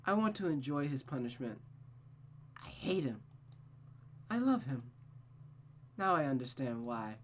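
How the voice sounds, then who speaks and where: A woman's voice: extremely low pitch, very animated, measured pace, pauses often, a little hiss or hum, full character voice. A woman voicing a childlike, deranged young woman from a visual novel, devoted and murderous, with a pleading, menacing sing-song delivery. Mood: pleading, vengeful. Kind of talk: visual novel character voice acting.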